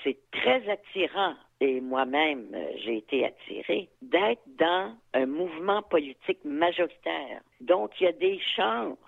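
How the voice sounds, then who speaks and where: A woman's voice: deep voice, lively intonation, unhurried, vocal fry, hardly any pauses. A woman in her seventies with a Quebec French accent, speaking frankly and thoughtfully as an interviewee, with fillers and asides. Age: 70s. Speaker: interviewee, activist. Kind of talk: reflective interview talk. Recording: tinny phone line.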